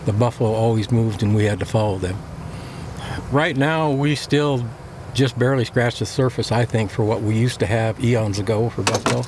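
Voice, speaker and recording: A man giving reflective interview answers in a thoughtful, hesitant way with many pauses and fillers. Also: medium pitch, fairly even intonation, noisy background.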